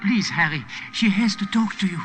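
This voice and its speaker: An actor playing an anxious French hotel keeper in a 1940s radio drama, urgent and coaxing. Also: male voice, high voice, animated, normal speed, over music, rough recording. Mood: urgent, pleading.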